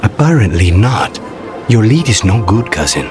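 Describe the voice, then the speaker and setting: A man's voice: lowish voice, big pitch swings, normal speed, over music. A man voicing a brooding, courteous assassin with a dry, guarded manner in a video game cutscene. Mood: urgent, insistent.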